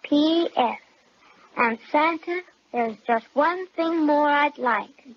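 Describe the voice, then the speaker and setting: A woman's voice: high voice, takes it slowly. A woman playing an eager, bossy little girl in an old-time radio children's serial, with chirpy, emphatic phrasing. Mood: bossy, earnest. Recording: dull old radio recording.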